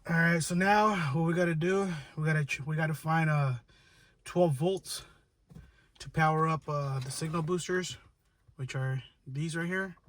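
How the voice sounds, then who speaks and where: A man's voice: on the high side, normal speed, a bit bright. A man narrating a casual hands-on tutorial, informal and thinking aloud as he works. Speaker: how-to video host.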